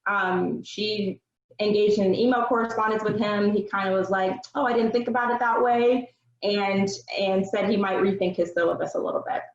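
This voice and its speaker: A young adult woman giving an earnest, measured seminar presentation with frequent filler words. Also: medium pitch, fairly even intonation. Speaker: research presenter. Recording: roomy, dull lo-fi sound.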